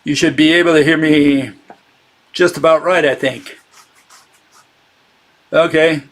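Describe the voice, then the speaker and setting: A man's voice: a little high, steady tone, slow pace. A man hosting a casual live-streamed talk, sarcastic and chatting with his viewers as he goes. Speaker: channel host giving a critique.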